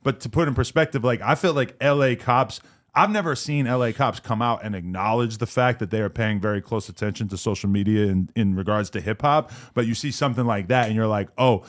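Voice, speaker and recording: A man's voice: lowish voice, a little fast. A man hosting a podcast, talking through the news in a casual, rambling way and swearing freely. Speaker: podcast host. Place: podcast studio. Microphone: studio mic on stand.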